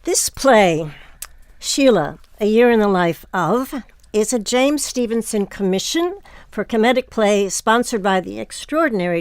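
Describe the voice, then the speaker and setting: A woman's voice: mid-range voice, smooth, no room sound, clean studio sound. A woman hosting, reading scripted credits and introducing an interview. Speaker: host.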